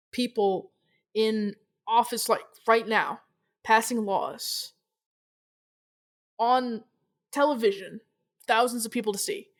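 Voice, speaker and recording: A woman hosting a casual interview, speaking in a blunt, emphatic way with some swearing. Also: medium pitch. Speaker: interview host.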